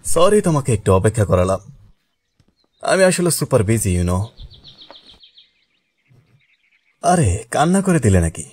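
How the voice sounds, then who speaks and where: Man voicing a fast-talking, cocky anime sorcerer. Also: medium pitch, very animated, darkish tone, slightly rough. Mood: flippant. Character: cocky, powerful male sorcerer teacher, flippant and menacing. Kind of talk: anime fan dub dialogue in Bangla.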